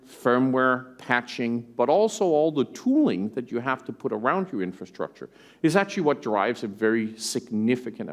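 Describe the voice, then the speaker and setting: A man from Denmark with an American accent gives a conference keynote, conversational and animated, tossing in rhetorical asides. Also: medium pitch. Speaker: tech company executive presenting. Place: conference stage.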